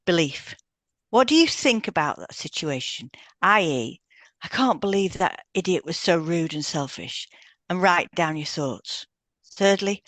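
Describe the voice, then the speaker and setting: A woman's voice: lowish voice, fairly bright tone, no echo. A woman reading a semi-scripted explanatory podcast as co-host.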